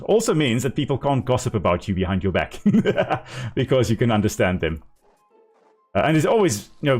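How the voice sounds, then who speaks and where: South african guy streaming a game, lively up and down voice, chatty, faint music behind. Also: fairly low pitch. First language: Afrikaans. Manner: chatty, laughs easily, explains as he plays. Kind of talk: casual live-stream commentary.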